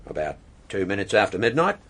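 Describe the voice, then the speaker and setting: Questioned witness, radio drama, hesitant, explanatory, deep pitch, animated intonation. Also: male voice, quick pace, exaggerated voice. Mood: careful. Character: hesitant male witness answering questions carefully.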